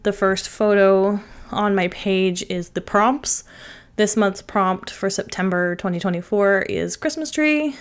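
A woman's voice: mid-range voice, clean tone. Chatty woman with a stuffy cold. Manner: chatty, with many "like" and "um" fillers. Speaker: card-making channel host. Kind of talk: casual crafting tutorial voice-over.

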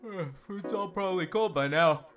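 A man's voice: sits high, normal speed, fairly bright tone, soft background music, voice pushed up. A man voicing a grumpy, sluggish, put-upon man who drawls and grumbles in an audiobook. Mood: sleepy, grumpy.

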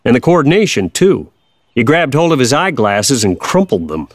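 A man's voice: medium pitch, sing-song, normal speed, dry recording, clean studio sound. An actor playing an older Kansas farmer father, plainspoken, folksy and patiently wry, in an audio drama. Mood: reminiscing.

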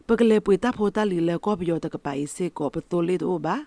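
Low-voiced woman reading radio news. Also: lively intonation, fast talker, dark tone, dry recording.

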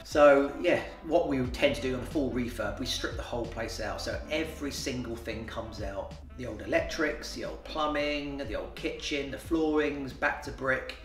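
A man's voice: mid-range voice, normal speed, hardly any pauses, soft background music. A man, answering interview questions plainly, with fillers and restarts. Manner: plain-spoken, matter-of-fact, with repeated fillers and restarts. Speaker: renovation company boss. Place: office, indoors. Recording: roomy office sound from far off.

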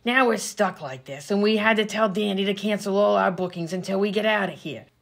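A woman playing a gruff, bossy 1920s vaudeville comedienne, slangy and sarcastic, in an old-time radio comedy sketch. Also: mid-range voice, brisk. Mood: exasperated. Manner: brash, slangy, sarcastic insults.

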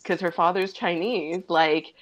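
A woman's voice: on the low side, fairly even intonation, low-quality recording. A woman panelist telling stories in a chatty way with many fillers, over a video call from home. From Dominican heritage, lives in Montreal. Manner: warm storytelling, quick laughs, lots of fillers. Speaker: panelist, inclusion consultant.

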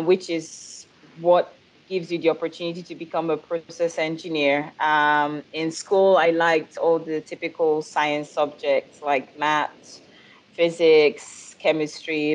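A woman's voice: low voice, flat delivery, measured pace, rough recording. A woman from Nigeria, a production specialist, talking in a friendly way and asking the others questions on a video call. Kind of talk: casual video-call chat.

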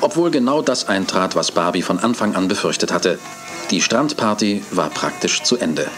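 A man narrating a children's radio play in a measured storytelling manner. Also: low-pitched, expressive, music in the background.

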